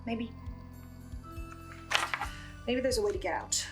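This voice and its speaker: A woman delivering a scripted, dramatic video log monologue with ominous pauses for effect. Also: on the high side, lively intonation. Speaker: host of a listener's video log. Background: music behind the voice.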